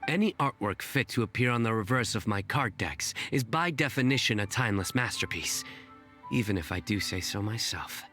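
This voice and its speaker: A man's voice: lowish voice, lively intonation, moderate pace, pushed and bright, faint music behind. An actor playing a proud young man obsessed with a card game, talking earnestly and formally. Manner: earnest, formal, self-assured. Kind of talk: game voice acting.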